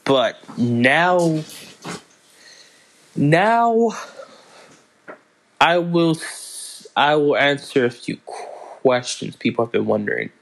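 Slow, halting young man hosting a podcast. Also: a little high, animated, vocal fry, poor audio. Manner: halting, restarts and fillers, slow unhurried delivery. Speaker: podcast host. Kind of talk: casual solo podcast announcements.

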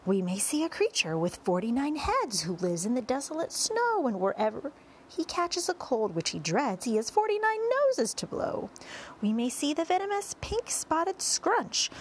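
A woman's voice: sits high, a little hiss or hum, pitched above the performer's own voice. A woman voicing an exuberant, boastful male centipede who calls out gleeful verse in a storybook. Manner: shouting, exuberant, singsong verse.

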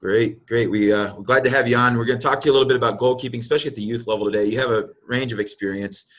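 A man's voice: medium pitch, fairly even intonation, racing. A man hosting a podcast, talking casually and hesitantly, with lots of fillers and restarts. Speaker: podcast host.

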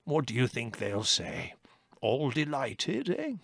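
A man's voice: mid-range voice, sing-song, takes it slowly, gravelly, fairly bright tone, dry recording, clean studio sound, pitched above the performer's own voice, exaggerated voice. A man voicing an aging chief rabbit in a storybook, drawling, over-polite, detached and condescending. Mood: politely dismissive. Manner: drawling, over-polite, unruffled, with musing repetitions.

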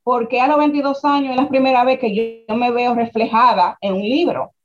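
A young Dominican woman with a Dominican accent, speaking as an interview guest in a lively way, with restarts and rhetorical questions. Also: fairly high pitch, brisk, music in the background. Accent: Dominican Spanish. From Dominican Republic. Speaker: guest, founder of a writers' platform. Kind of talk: casual interview conversation. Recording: dull lo-fi sound.

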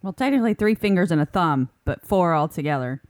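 A woman co-hosting a casual podcast, playful and quick to laugh while she answers trivia. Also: fairly low pitch, expressive, dry recording. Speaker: podcast co-host. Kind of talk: casual chat.

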